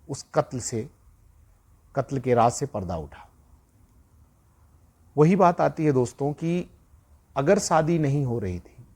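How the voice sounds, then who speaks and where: Male true-crime storyteller, mid-range and a little husky, conversational, moralizing, leaning on repetition. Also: medium pitch, a little mellow. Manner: repeats phrases for emphasis, addresses listeners directly.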